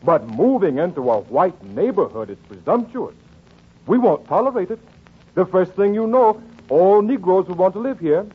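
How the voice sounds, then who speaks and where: A man's voice: high voice, very animated, moderate pace, husky, heavily acted. A man playing an indignant, intolerant civic board member, blunt and scolding, in 1940s radio drama style. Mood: indignant, threatening. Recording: tinny, narrow 1940s radio recording.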